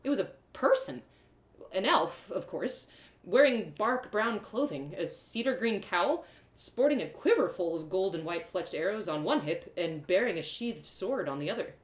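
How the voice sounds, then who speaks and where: A woman reading a first-person fantasy story aloud in expressive storybook narration. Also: mid-range voice, normal speed. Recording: roomy echo.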